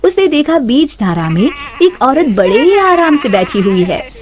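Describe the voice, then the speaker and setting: A woman's voice: sits high, sing-song, measured pace, a crowd in the background. A woman narrating a scripted cartoon story.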